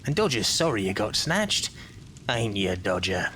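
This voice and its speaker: A man playing an oily, falsely affectionate leader of child thieves in an audio drama, wheedling and breathy, often half-whispering. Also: fairly low pitch, very animated, measured pace, pushed and bright, a little hiss or hum, exaggerated voice. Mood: oily, coaxing.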